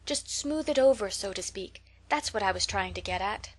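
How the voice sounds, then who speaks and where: A woman voicing a shy, gentle elderly farmer who talks in a soft, hesitant, coaxing way. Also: mid-range voice, level tone, moderate pace.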